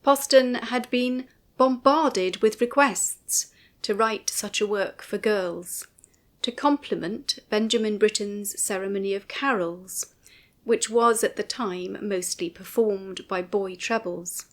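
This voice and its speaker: A woman narrates a scripted commentary. Her delivery is very slow and measured, with careful, formal phrasing. Her voice sits at a medium pitch. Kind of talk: scripted documentary commentary, read aloud.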